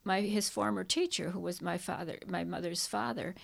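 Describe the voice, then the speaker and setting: An older woman, Czech by first language, talks through her memories in an interview. Her voice is deep-set and heavily gravelly with constant vocal fry. She wanders and restarts as she recalls things. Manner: halting, reminiscing, many restarts. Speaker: interviewee, hiking club member. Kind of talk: oral history interview.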